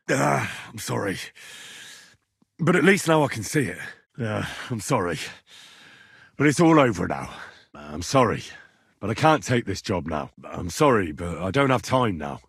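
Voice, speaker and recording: A man's voice: lowish voice, vocal fry, husky, no room sound, studio quality, full character voice. An actor playing an earnest, courteous young medieval messenger and man-at-arms, speaking plainly and politely in video game dialogue. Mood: apologetic. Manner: polite, plain-spoken, deferential to betters.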